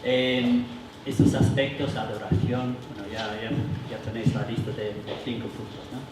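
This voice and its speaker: A man's voice: fairly low pitch, steady tone. Man with a foreign accent, far off in a big echoey hall. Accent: foreign accent in Spanish. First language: not Spanish. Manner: halting, many "eh" fillers and restarts. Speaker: workshop leader. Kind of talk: explaining a church workshop to adults. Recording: roomy hall, mic from far, noisy.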